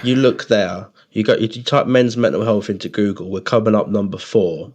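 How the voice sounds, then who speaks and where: A man's voice: lowish voice, steady tone, moderate pace, darkish tone. A British man co-hosting a podcast in casual, blokey, sweary chat with a friend. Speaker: podcast co-host.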